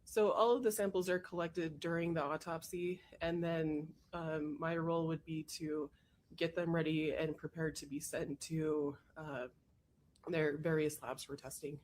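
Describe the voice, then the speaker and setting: Woman witness, hoarse voice from a cold, factual and careful, courtroom testimony. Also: sits low, little pitch movement, unhurried, a little mellow, slight background noise. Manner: matter-of-fact, careful answers. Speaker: trial witness, forensic technician.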